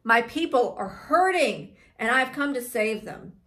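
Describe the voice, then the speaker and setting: Woman voicing a loud, commanding God, bright-toned. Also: on the high side, lively intonation, unhurried. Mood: compassionate, solemn. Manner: solemn, emphatic proclamation. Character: commanding, thundering voice of God from a burning bush. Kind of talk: children's Bible story read-aloud.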